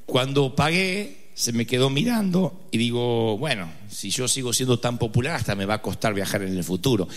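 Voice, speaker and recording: A man's voice: medium pitch, lively intonation, moderate pace, few pauses. Argentine guy preaching, jokey storytelling, big echoey church sound. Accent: Argentine Spanish. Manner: humorous, conversational storytelling with dramatic emphasis. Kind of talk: sermon with personal anecdote. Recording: reverberant hall.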